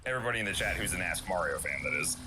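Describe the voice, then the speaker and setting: A man's voice: low voice, lively intonation, very fast. A young adult man talking casually as a co-host on a livestream. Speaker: stream co-host. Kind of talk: casual stream chat. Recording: music behind the voice.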